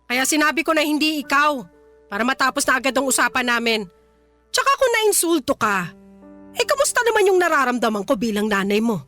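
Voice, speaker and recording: A woman's voice: high-pitched, sing-song, brisk, bright, forceful tone, heavily acted. An actress with a Filipino accent playing a stern, resentful mother of a grown son, scolding and exasperated in radio drama style. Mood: defensive, bitter. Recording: faint music bed.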